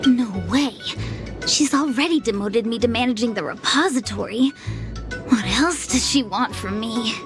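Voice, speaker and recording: A woman playing a playful, easily flustered young diviner guide in a video game, chatty and expressive. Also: fairly high pitch, moderate pace, natural voice. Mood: exasperated. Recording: background music under the voice.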